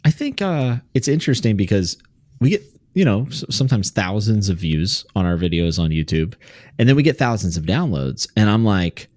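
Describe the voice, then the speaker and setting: A man's voice: lowish voice, big pitch swings, moderate pace, darkish tone, no room sound, clean studio sound. A man from West Virginia with a light American accent, co-hosting a podcast in casual, joking chat. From West Virginia, USA. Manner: jokey, drops into playful impressions. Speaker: podcast co-host.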